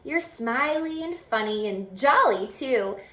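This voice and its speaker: A woman's voice: high voice, animated, slow, fairly bright tone, heavily acted. A woman voicing a small, earnest, selfless male Christmas elf from a storybook in a sincere, sing-song way. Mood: tender, singing. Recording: roomy, echoing room sound.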